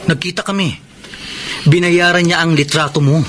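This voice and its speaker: A man's voice: a little high, steady tone, normal speed, fairly bright tone, close to an everyday voice. An actor with a Filipino accent playing an earnest, encouraging young man in a radio drama, eager and persuasive. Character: Baron, earnest, encouraging young male friend of a prisoner. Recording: noisy radio drama broadcast.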